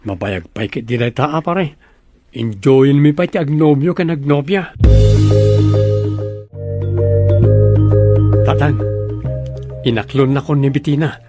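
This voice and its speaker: A man's voice: medium pitch, moderate pace, warm tone, faint music behind, lightly acted. A man acting a humble, bashful young farmer in love, in a radio-style drama. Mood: bashful, joking.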